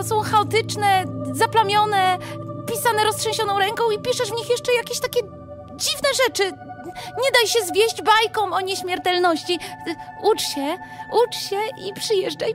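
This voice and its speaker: A woman's voice: very high voice, measured pace. A female performer playing an anxious, devoted young sweetheart reading a letter in a radio drama. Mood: worried, pleading. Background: music.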